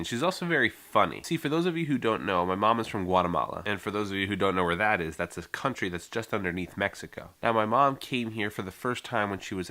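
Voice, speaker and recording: A young American man with a low voice talks straight to his viewers in an earnest, heartfelt way, rambling a little as he goes. His tone is bright and forceful despite the low pitch. It sounds like a casual personal vlog. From United States. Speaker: vlogger.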